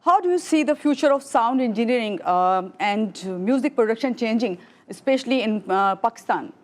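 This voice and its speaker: A woman's voice: on the high side, expressive, moderate pace. A woman hosting a morning show, asking interview questions with frequent hesitations and fillers.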